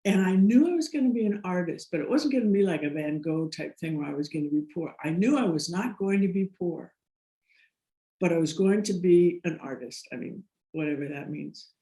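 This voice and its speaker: A woman's voice: lowish voice, animated, a little fast, mellow. American woman telling stories in a roomy echo. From United States. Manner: rambling, laughs often, many restarts. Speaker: guest. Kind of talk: casual interview storytelling.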